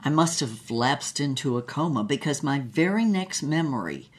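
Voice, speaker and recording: Actress as a theatrical low-key-pitched woman storyteller. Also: big pitch swings, normal speed. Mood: awed, dramatic. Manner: theatrical, emphatic. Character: dramatic woman adventurer recounting her own near-death past. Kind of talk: audio drama storytelling.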